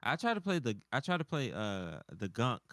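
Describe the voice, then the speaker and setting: A man's voice: mid-range voice, big pitch swings, normal speed, a bit bright, no echo, clean studio sound. A man co-hosting a podcast, chatting informally and often repeating phrases as he goes. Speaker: podcast co-host. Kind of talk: casual chat.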